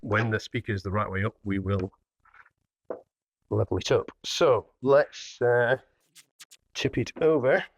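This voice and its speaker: A man's voice: on the low side, expressive, measured pace, long pauses, lo-fi sound, faint music behind. A man giving a casual product demonstration as a hi-fi dealer, plainly explaining each step as he works. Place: indoor hi-fi showroom.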